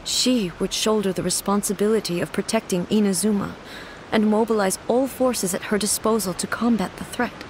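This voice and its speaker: A woman playing a regal, immortal shogun in a video game, formal and measured, grieving yet composed. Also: mid-range voice, steady tone, a little hiss or hum, close to an everyday voice, low-quality recording. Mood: grave.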